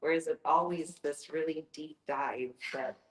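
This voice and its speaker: A woman's voice: deep voice, fairly even intonation, unhurried. A woman moderating a casual group discussion in an informal, conversational way. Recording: echoey room.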